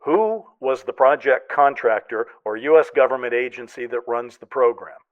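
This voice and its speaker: Male co-host, informal chat and cold script read, average pitch, dry close sound. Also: moderate pace, no echo, narrow, tinny audio. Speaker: co-host of a show. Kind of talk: informal chat, then cold reading of an interviewer's questions aloud.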